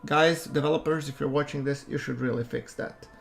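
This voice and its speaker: A man giving relaxed, chatty commentary over a space-game playthrough, with a soothing voice and self-deprecating asides. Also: fairly high pitch, fairly quick, vocal fry, music in the background. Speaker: gameplay commentator. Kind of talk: casual let's-play commentary.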